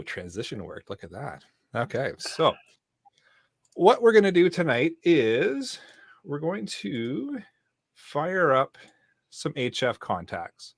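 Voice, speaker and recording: A man's voice: medium pitch, a bit bright, no room sound. A man hosts a casual live show in a mid-range voice. The sound is dry with very little room in it, and he explains things in plain, conversational terms. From Canada. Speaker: podcast host.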